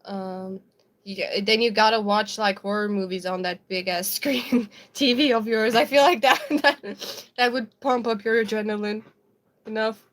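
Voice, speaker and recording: A young woman from South Africa, raised speaking Afrikaans, who talks with an American-sounding accent. She chats loosely with her stream audience, giggling often and filling gaps with ums and likes. Her voice sits at a middling pitch for a woman. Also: measured pace, a bit bright. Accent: American-sounding English. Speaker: livestreamer. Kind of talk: casual chat reading viewer comments.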